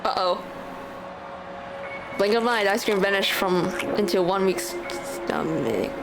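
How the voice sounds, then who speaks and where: Female game streamer, mid-range voice, casual read-aloud and commentary over loud music. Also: medium pitch, expressive, measured pace, poor audio.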